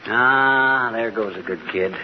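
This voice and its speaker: An actor playing a blustering, boastful, middle-aged husband in a 1940s radio comedy. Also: male voice, medium pitch, slow, natural voice. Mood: grudging, scheming. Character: blustering, boastful, jealous husband, teller of tall tales. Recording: muffled, very noisy 1940s radio recording.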